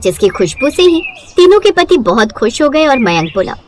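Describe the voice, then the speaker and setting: High, lively female storyteller. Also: moderate pace, a little hiss or hum. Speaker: narrator. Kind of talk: scripted bedtime story narration.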